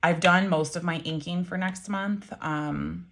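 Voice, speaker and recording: A woman's voice: lowish voice, fairly even intonation, measured pace, gravelly, fairly bright tone. A woman reviewing pens and inks in a casual vlog, chatty and rambling, with many ums, self-corrections and wry asides. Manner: wry, mildly swearing asides. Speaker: pen and ink reviewer.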